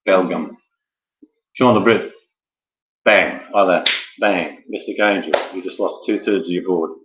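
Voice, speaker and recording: A man's voice: low voice, normal speed, slight background noise. A man hosting an informal live quiz in a rambling, jokey manner with theatrical build-up. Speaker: quiz host. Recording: dull lo-fi, echoey room.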